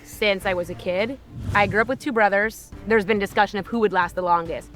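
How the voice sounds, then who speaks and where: A woman's voice: mid-range voice, a little fast, fairly bright tone, faint music behind. A woman in her twenties from New Jersey, talking in an upbeat, outgoing and goofy way in a cast introduction interview. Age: 20s. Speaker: reality show contestant.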